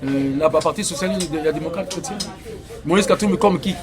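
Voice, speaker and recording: Insistent high-voiced Congolese man. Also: fairly quick, crowd noise. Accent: Congolese French. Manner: insistent, argumentative, with many hesitations. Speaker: interviewee. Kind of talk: heated political debate.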